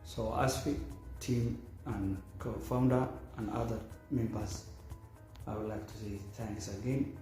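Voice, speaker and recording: A man from Somalia speaking about a course he took, in a halting way with repeats and fillers. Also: lowish voice, steady tone, mellow, heavy reverb, faint music behind. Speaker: course participant, academic director. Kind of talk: testimonial.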